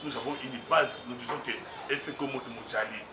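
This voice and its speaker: A man's voice: medium pitch, fairly even intonation, fairly quick. A man with a Congolese French accent, a political candidate speaking emphatically and rambling, slipping into Lingala. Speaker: political candidate, party communications secretary. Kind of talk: street interview. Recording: roomy, distant mic, noisy background.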